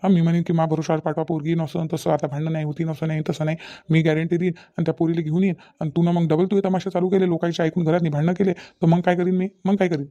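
A man's voice: fairly high pitch, flat delivery, fast, warm tone, dull audio, lightly acted. A man playing a distrustful middle-aged village father-in-law in a cartoon comedy, nagging sceptically and repetitively with a Vidarbha Marathi accent. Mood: stern warning. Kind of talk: cartoon family comedy dialogue.

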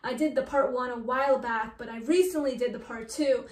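Girl in her 20s reacting casually, excitable, high voice, echoey room. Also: a little fast. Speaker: reaction video host. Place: small bedroom indoors. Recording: roomy sound with music behind.

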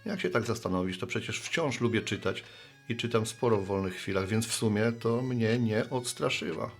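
A man's voice: fairly low pitch, normal speed, soft background music, lightly acted. An actor playing a good-natured, sheepish middle-aged man, a postman and bar regular, talking in a folksy, rambling way in a radio play. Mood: reflective.

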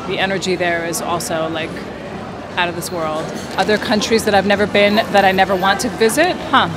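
A woman from Greece speaks in a relaxed, thoughtful way, pausing often to search for words. Her voice sits at a middling pitch. Loud traffic and city noise run behind her on the street. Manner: relaxed, reflective, many fillers. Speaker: street interviewee. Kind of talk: casual street chat. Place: busy city street outdoors.

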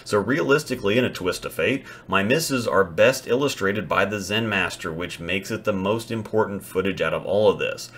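A man with a low, deep voice narrates an instructional lesson. He stays matter-of-fact and analytical, and he walks through each mistake in a steady scripted voice-over. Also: normal speed, few pauses, a little hiss or hum. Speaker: bowling instructor.

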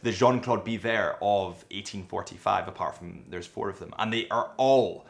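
A young Scottish man talks lively and animated, gesturing as he presents. His voice sits in a middle register, and he is recorded on an off-camera mic in a small, bright indoor studio. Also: fairly low pitch, moderate pace, creaky. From Scotland. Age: young adult. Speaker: watch retailer presenter. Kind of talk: enthusiastic watch vlog narration.

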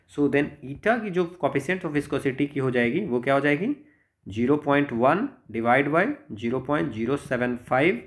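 A man with an Indian accent working step by step through exam problems as a physics tutor, explaining and often checking in. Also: medium pitch. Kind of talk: step-by-step exam solution walkthrough.

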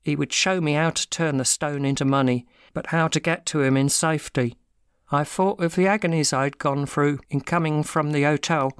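A man's voice: on the high side, steady tone, moderate pace, clean tone, no echo, studio quality, natural voice. An actor playing an anguished working man confessing to a theft in a dramatic audiobook, telling his story with self-pity. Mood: anxious recounting.

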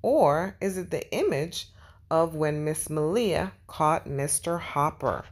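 A woman narrating a children's storybook and teaching young viewers in an animated, instructive way. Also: sits low, slow. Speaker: children's read-aloud host.

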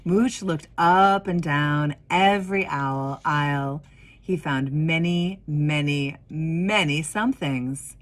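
A woman in her forties reading a children's story expressively, with deliberate pauses and emphasis. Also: low voice. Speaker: minister of religious education reading a picture book.

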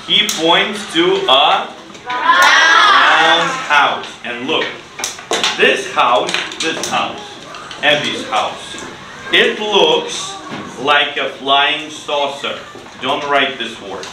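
Middle-aged male ESL teacher, unhurried simple delivery, recorded at a distance in a noisy, echoey classroom. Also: a little high, expressive. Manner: simple, deliberate phrasing with repeated words and short instructions. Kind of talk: classroom English lesson for children. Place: indoor school classroom.

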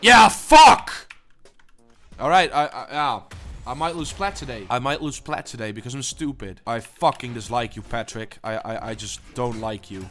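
A young man giving casual gameplay commentary, stammering and swearing, swinging between low mumbles and excited yelling. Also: normal speed, soft background music. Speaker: gaming channel host.

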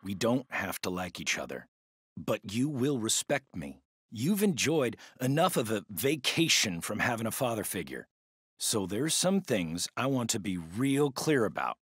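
A middle-aged American military stepfather in a game. He lectures sternly in a husky, gruff voice that swings widely in pitch. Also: very animated, measured pace, a bit bright, dry recording, clean studio sound, exaggerated voice. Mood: stern, menacing. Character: stern, gruff ex-army stepfather with wounded authority. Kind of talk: video game voice acting.

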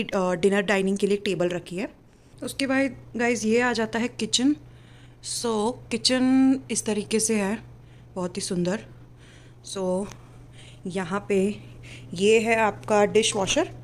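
A woman's voice: medium pitch, a little hiss or hum. A woman in her twenties giving a casual apartment tour, chatting and pointing things out while walking with a handheld phone camera. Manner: chatty, mixing in English words, pointing things out. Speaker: vlogger. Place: small basement apartment indoors. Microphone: phone camera held while walking.

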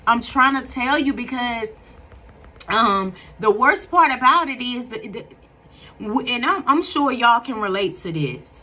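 Husky, bright-toned woman giving earnest faith talk. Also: a little high, expressive, unhurried, poor audio. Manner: earnest, conversational, preachy with frequent restarts. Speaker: faith vlogger. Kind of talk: casual spoken devotional encouragement. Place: bright room at home, indoors. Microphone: phone camera at close range.

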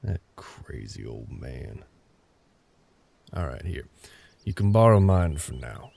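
Man playing a deep, gravelly gruff Southern cowboy. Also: sing-song, slow, dark tone, exaggerated voice. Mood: grudging, curt. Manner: gruff, drawling, terse. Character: gruff older cowboy ranch hand, grumpy, curt, grudgingly helpful. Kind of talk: roleplay audio drama, ASMR.

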